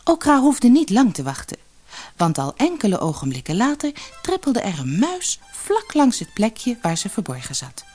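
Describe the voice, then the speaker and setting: A woman reading a fairy tale as an audiobook storyteller, expressive and measured. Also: a little high, soft background music. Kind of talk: scripted fairy-tale narration.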